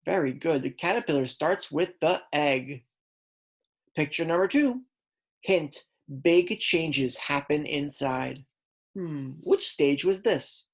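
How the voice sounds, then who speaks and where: A woman's voice: low voice, animated, takes it slowly. A woman kindergarten teacher reading aloud a lesson for young children, explaining words simply and prompting her pupils.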